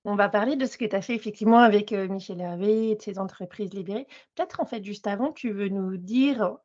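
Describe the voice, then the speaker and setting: A woman's voice: mid-range voice, a little fast, a little mellow, dull audio. Woman hosting a podcast, welcoming. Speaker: podcast host.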